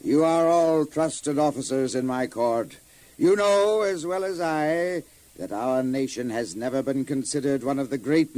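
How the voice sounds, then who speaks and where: An actor playing an ambitious, scheming warlord king with a grandiose, deliberate delivery in an audio Bible drama. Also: a little high, a little mellow.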